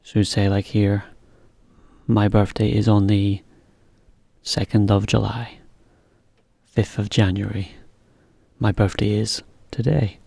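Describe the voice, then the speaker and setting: Man, English teacher, slow and even delivery, gravelly low voice. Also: no echo. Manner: slow, plain explanations with example sentences. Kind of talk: slow beginner English lesson.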